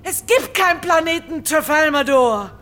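High, sharp-voiced exasperated daughter. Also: female voice, expressive, normal speed. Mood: angry, exasperated. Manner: scolding, agitated, incredulous. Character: exasperated, hectoring grown daughter, near hysterical. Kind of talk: radio drama acting.

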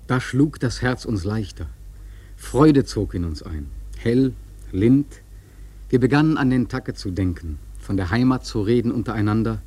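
Sailor narrator, husky mellow voice, grave measured storytelling, radio play. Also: male voice, lowish voice, animated, dry recording. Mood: grave, reflective. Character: grave male sailor narrating a whaling tale.

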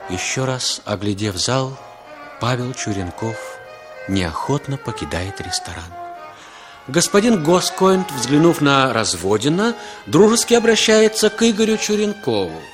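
A male narrator with a fairly low voice tells a radio drama in vintage 1960s style. His scripted delivery is dramatic, set over loud music. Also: big pitch swings, measured pace.

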